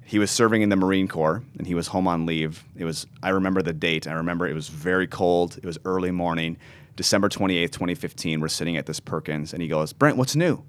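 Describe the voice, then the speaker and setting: A man's voice: sits low, fast talker. A man in his thirties, a pastor, telling his story earnestly in an interview and often restarting his words. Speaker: pastor and ministry founder.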